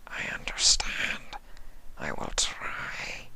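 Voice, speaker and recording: Whispering, solemn insectoid changeling. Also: male voice, bright, forceful tone, heavily acted. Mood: confused, earnest. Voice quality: breathy, whispered. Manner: slow, halting, formal speech. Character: solemn insectoid changeling creature, blunt and confused.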